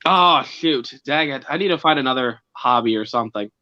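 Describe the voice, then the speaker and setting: A man's voice: mid-range voice, animated, normal speed, bright, forceful tone, no echo, dull audio. A young man on a video call joking about himself and how young his voice sounds, in a self-deprecating way. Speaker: panel guest. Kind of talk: casual self-mocking chat.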